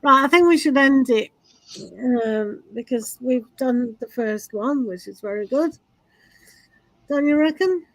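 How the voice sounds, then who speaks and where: A woman's voice: sits high, dry recording, poor audio. A woman, co-hosting a live stream of oracle card readings and chatting in a playful, teasing way. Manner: teasing, playful and quick to laugh. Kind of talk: casual chat on a live stream.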